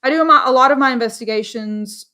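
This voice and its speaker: A woman's voice: on the high side, quick pace, bright, forceful tone. A woman from Dallas, Texas, a paranormal investigator, chatting bubbly and casually with lots of fillers in an interview.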